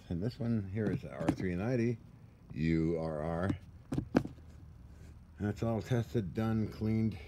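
Low-pitched man, casual and muttering, noisy recording. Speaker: radio restorer. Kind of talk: casual hands-on equipment walkthrough. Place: indoor cluttered workshop. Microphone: handheld camera or phone, behind the lens. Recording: noisy handheld recording with room ambience.

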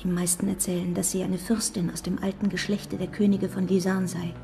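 An actress playing a humble, devout young village girl, earnest and hushed, in a radio drama. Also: on the low side, little pitch movement, fairly quick, gravelly, soft background music. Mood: reverent.

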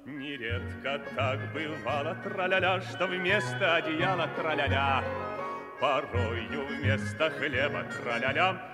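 Carefree tramp singing, echoey hall. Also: male voice, on the high side, slow. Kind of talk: singing a light song in a 1960s radio play. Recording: echoey reverberant hall, loud orchestral music.

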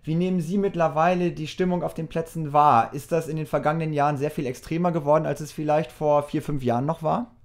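A man's voice: a little high, fairly even intonation, quick pace, few pauses. A man presenting and putting prepared interview questions in an articulate, formal way.

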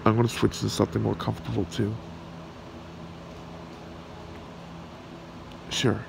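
A man playing a smitten, shy red dragon boyfriend in an ASMR roleplay, speaking in a halting, tender way. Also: on the low side, vocal fry. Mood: casual. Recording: steady background hiss or room hum.

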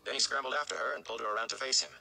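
A man's voice: mid-range voice, animated, rapid-fire, creaky, bright, forceful tone. A man in his thirties giving a dramatic audiobook-style narration of a fantasy novel. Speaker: read-along reader. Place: indoor room at home.

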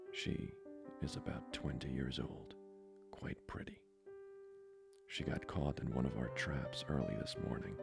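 Casting: male actor as seductive aristocratic vampire for ASMR roleplay, extremely low gravelly voice, courtly and intimate. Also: heavily acted. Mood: brooding, longing. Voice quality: breathy, whispery, gravelly. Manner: formal, slow, seductively polite. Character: flirty, courtly male vampire lord, hungering for blood.

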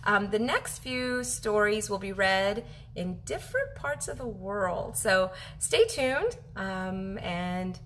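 A woman in her thirties reading a children's picture book aloud as a teacher, animated and expressive, drawing out her phrases for young listeners. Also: medium pitch, slow pace, a little hiss or hum. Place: home kitchen, indoors.

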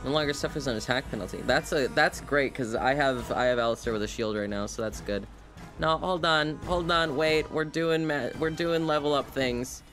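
A man's voice: medium pitch, moderate pace, fairly bright tone. A man giving casual, informal live commentary as a game streamer, musing aloud to himself. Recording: game music behind the voice.

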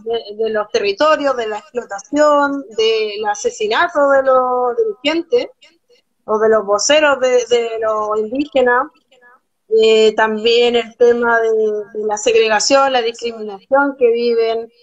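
Chilean woman guest, young, earnest and rapid, highish voice, dull lo-fi phone-camera sound. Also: steady tone. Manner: earnest, talks fast with many restarts. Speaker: guest, documentary photographer. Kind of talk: casual live-stream interview. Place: small room at home, indoors. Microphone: phone camera on a video call.

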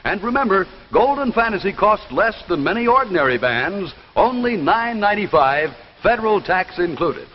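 A man's voice: high-pitched, very animated, normal speed, hardly any pauses. An American man in his thirties delivering a scripted 1950s television commercial. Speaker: commercial pitchman. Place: television studio display counter. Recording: dull, noisy 1950s TV soundtrack.

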